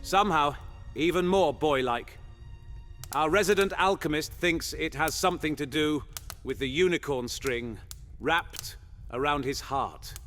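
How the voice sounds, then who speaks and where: A man's voice: fairly high pitch, a little slow, fairly bright tone, faint music behind. A man playing a chatty, zealous medieval monster hunter in a fantasy audio roleplay, with theatrical, old-fashioned phrasing. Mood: chatty, conspiratorial.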